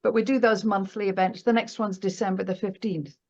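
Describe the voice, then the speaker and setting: A woman's voice: mid-range voice, fairly even intonation, a little fast, darkish tone, highs cut off. A woman speaking as a guest market analyst in a casual, chatty conversation, often checking for agreement with little tag questions.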